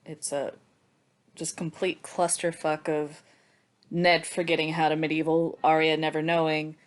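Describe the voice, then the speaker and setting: A woman's voice: deep voice, flat delivery, unhurried. A woman hosting a book discussion in a sardonic, casually sweary way. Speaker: podcast host.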